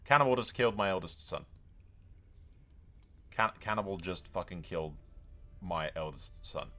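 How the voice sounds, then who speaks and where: A man's voice: deep voice, expressive, moderate pace, bright, forceful tone. A man giving casual, blokey game commentary, swearing freely and addressing his viewers. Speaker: gaming video host.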